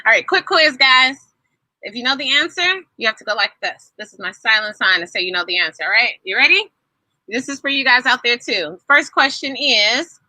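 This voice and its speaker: A woman's voice: on the high side, pushed and bright, no echo, poor audio. A woman storyteller reading aloud to children and quizzing them, in an encouraging, teacherly way with frequent questions to her listeners. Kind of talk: animated children's story time read-aloud.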